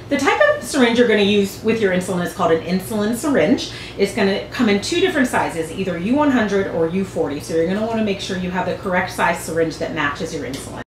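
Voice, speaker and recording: A woman in her forties talks in a middle pitch, calmly walking listeners through each step the way a veterinarian would. Her voice bounces around a hard-surfaced exam room and is picked up from a camera a few feet away. A faint hum runs underneath. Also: fast, roomy sound. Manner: clear, patient explaining with hand gestures. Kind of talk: instructional demonstration. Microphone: far camera.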